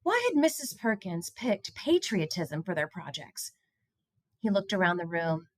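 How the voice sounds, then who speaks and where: A woman reading a children's picture book aloud in an expressive storytelling style. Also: on the low side, a little slow, dry recording, clean studio sound.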